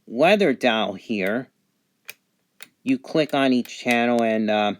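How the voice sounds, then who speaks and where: A man giving an unscripted product review, speaking haltingly in short phrases with pauses between them. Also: medium pitch. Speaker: gadget reviewer. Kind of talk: unscripted product review, describing features on camera.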